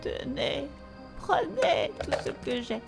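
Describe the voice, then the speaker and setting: A frightened old woman in an audio drama, with a piercingly high, heavily creaking voice. She drags out her theatrical pleas very slowly, over music. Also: big pitch swings, full character voice. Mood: mock-frightened pleading. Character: frightened old woman pleading with trick-or-treating children. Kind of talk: French audio drama acting. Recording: music behind the voice.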